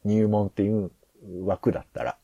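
Male podcast host, low and husky, casual discussion, rambling and searching for words. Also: lively intonation, moderate pace, mellow, dry recording, muffled recording. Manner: hesitant, rambling, thinks aloud.

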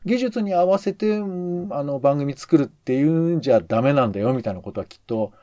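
A man's voice: medium pitch, lively intonation, fairly quick, dark tone, dry recording, studio quality. A man co-hosting a podcast, talking casually in a musing, explanatory way that often hedges. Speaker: podcast co-host.

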